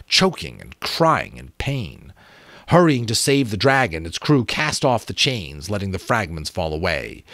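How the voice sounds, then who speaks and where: A man in his thirties or forties giving an expressive, emphatic dramatic reading of an adventure novel. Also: low-pitched, no room sound. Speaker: audiobook reader and channel host. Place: small room at home with bookshelves. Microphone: close desk microphone.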